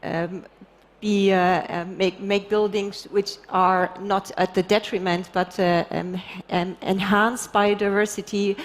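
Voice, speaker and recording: A woman's voice: medium pitch, level tone, measured pace. Middle aged woman on a panel, lots of ums, long hall echo. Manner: searching, many ums. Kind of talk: panel discussion. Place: large conference stage. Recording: hall reverb.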